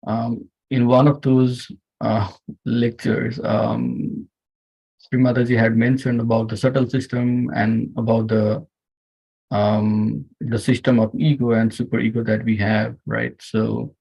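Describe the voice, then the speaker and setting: Hesitant Nepali-accented man, gravelly creak. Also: mid-range voice, level tone, unhurried, dark tone. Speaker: meditation session host. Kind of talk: informal personal talk to online group. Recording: muffled, dull lo-fi online audio.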